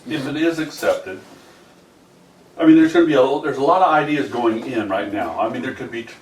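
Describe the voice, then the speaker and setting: A man organizing an informal group meeting, explaining haltingly. His voice is picked up at a distance in a roomy space, with a faint noise underneath. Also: mid-range voice. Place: indoor meeting room.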